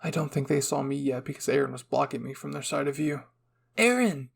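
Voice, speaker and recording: A man's voice: medium pitch, flat delivery, fast talker, vocal fry. A man reading scripted first-person narration as a storyteller.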